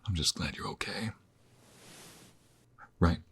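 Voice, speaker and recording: A man voicing a desperate, protective young mobster in an ASMR romance roleplay, intense and emotional, with a husky, breathy, gravelly low voice that often drops to whispers. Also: slow pace, a bit bright, slight background noise. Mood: hushed, urgent.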